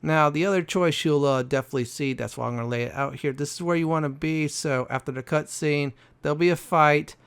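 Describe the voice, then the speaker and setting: A man giving casual gameplay commentary in a halting, rambling, explanatory way. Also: on the high side, a bit bright, smooth. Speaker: host of a gaming walkthrough.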